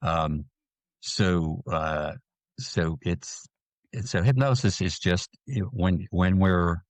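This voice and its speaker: A man, a hypnotherapist guest, explaining in a slow way with many restarts and fillers. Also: sits low, no echo. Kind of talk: explanatory interview talk.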